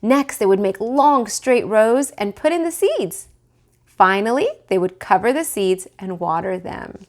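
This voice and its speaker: A woman reading a picture book aloud to children in an expressive way, pausing to put questions to the listeners. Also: mid-range voice. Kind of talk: animated read-aloud storytelling for children.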